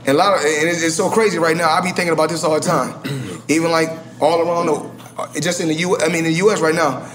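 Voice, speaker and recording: A man's voice: on the high side, steady tone, quick pace, few pauses, fairly bright tone, a little hiss or hum. A man, talking casually and boastfully, rambling with many restarts. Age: 40s. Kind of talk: casual podcast chat.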